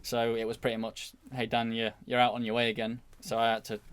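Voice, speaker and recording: Bloke who races bikes chatting on a podcast, average pitch, telling stories casually. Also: male voice, monotone, a little fast, a bit bright, clear, resonant tone. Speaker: motorcycle racer, podcast guest. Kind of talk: casual anecdotal storytelling.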